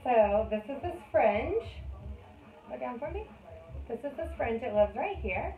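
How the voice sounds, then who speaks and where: A woman in her thirties, a hairstylist, talks through each cut in a chatty teaching voice, a little higher than most. She is recorded on a phone in an echoey salon, and the sound is thin and phone-like with a faint hiss behind it. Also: sing-song, measured pace. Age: 30s. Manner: chatty, explains step by step while working. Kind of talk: hair-cutting tutorial. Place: hair salon. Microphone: phone camera.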